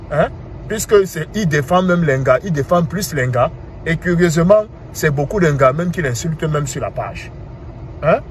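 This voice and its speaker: A man in his thirties with a Cameroonian French accent, talking casually and animatedly, asking rhetorical questions. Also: fairly high pitch. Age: 30s. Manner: animated, asks rhetorical questions, repeats "hein". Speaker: commentator. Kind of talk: casual monologue. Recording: clear street and traffic noise.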